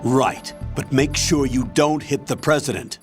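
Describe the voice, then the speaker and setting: An actor playing a steady, authoritative male military colonel in a video game, giving brisk instructions as mission control. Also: mid-range voice, over music, natural voice. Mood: firm, instructive. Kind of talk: video game radio-briefing acting.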